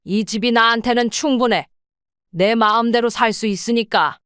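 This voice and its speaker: A woman voicing a calm, firm mother in her seventies in an audio drama, speaking plainly and steadily. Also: on the high side, moderate pace, clear, resonant tone, no room sound, studio quality, close to an everyday voice. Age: 70s.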